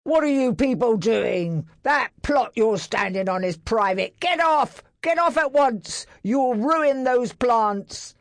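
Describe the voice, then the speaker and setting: A woman's voice: a little high, lively intonation, slow pace, hardly any pauses, dry recording, studio quality, voice pushed up. A woman voicing a haughty, sneering man who demands people leave his garden.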